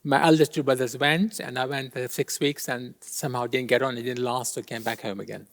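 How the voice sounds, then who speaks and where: A middle-aged man from Kenya whose first language is Gujarati, answering interview questions thoughtfully with many pauses. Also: medium pitch, fairly even intonation. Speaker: interview guest. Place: large church. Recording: long reverb of a large church hall.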